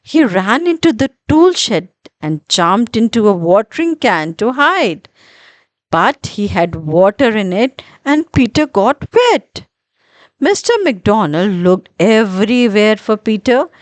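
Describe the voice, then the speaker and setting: A woman narrating a children's story in an expressive, deliberate storytelling manner with dramatic pauses. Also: mid-range voice, no echo.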